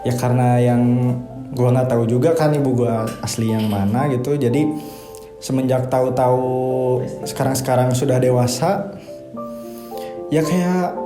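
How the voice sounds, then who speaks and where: A man of twenty-one answering interview questions in relaxed, hesitant casual chat. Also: medium pitch. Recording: echoey room with music behind.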